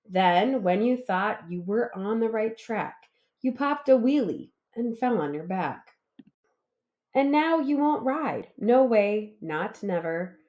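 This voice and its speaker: A middle-aged woman reading a children's picture book aloud in a measured, expressive storytelling manner, with varied emphasis. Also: a little high. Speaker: read-aloud host, teacher.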